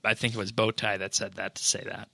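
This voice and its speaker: A man co-hosting a podcast, chatting casually about game news in a measured, hesitant way. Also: lowish voice, level tone, creaky, pushed and bright, slight background noise. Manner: measured, hesitant, drawn-out phrasing. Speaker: podcast co-host. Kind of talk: casual gaming news chat.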